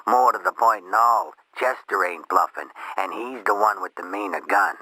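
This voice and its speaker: An actor playing a taunting street-crook kidnapper in a video game, talking in slangy threats. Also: male voice, sits low, normal speed, bright, forceful tone, no echo, poor audio. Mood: taunting menace. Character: menacing, taunting street-crook kidnapper making ransom demands.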